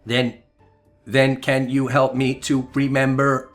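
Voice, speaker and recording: A man's voice: mid-range voice, monotone, slow pace, faint music behind, lightly acted. Man playing a hesitant, lost little boy. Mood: pleading. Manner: hesitant, repeating words. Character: confused amnesiac small young boy, timid and lost.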